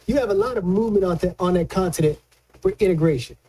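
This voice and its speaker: High-pitched, mellow man explaining the news. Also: slightly rough. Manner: halting, repeats words while explaining, colloquial. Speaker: news analyst. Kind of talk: conversational political commentary.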